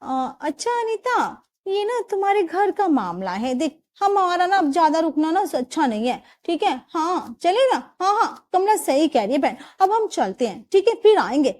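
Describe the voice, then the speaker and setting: A nosy older neighbor woman, as a woman narrator puts her on, full of exclamations and tutting. She gossips eagerly and judges freely. Her voice is high and animated. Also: normal speed, hardly any pauses. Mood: gossipy.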